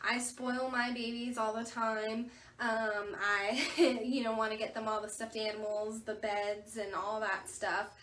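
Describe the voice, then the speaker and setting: A woman from a veterinary clinic gives casual tips on puppy care, with a soft voice and lots of "you know" fillers. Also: a little high, little pitch movement, a little slow, hardly any pauses, slight background noise. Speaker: veterinary staff member. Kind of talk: informal instructional talk. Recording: echoey room, distant mic.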